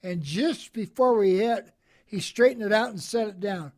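A man in his eighties, a veteran being interviewed, who talks in a cheerful, soft-spoken, gentle way and chuckles. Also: high-pitched, moderate pace. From American Midwest. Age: 80s. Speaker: Korean War veteran guest. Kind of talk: interview answers.

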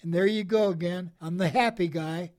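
A man in his eighties, a veteran being interviewed, who talks in a cheerful, soft-spoken, gentle way and chuckles. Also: high voice, moderate pace. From American Midwest. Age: 80s. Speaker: Korean War veteran guest. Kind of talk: interview answers.

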